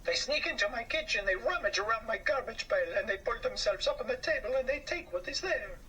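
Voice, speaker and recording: A fussy, indignant French housewife from a storybook, put on by a man. The voice is pitched far up, bright and full of complaint. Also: expressive, a little fast, heavily acted. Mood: disgusted, complaining. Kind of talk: children's storybook character voice. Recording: slightly distant mic, faint noise.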